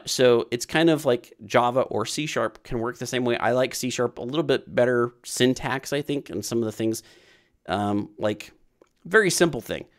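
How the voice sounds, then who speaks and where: Rambling man hosting a livestream. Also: mid-range voice. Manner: rambling, repeats himself with many fillers. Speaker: programming livestream host. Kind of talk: casual livestream Q&A.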